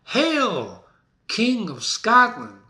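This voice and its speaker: A man's voice: high voice, huge pitch swings, drawn-out words, pushed and bright, pitched above the performer's own voice, exaggerated voice. A man playing a triumphant, loyal nobleman, proclaiming as in a Shakespeare stage play. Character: triumphant loyal nobleman hailing a new king. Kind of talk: dramatic reading of a Shakespeare play. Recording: echoey room.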